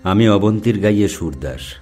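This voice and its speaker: A man's voice: on the low side, lively intonation, normal speed, a little mellow, husky, soft background music. A man playing a mysterious middle-aged tantric musician in an audio story, grave and commanding, speaking with solemn deliberation. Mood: solemn, knowing. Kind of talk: dramatized audio story acting.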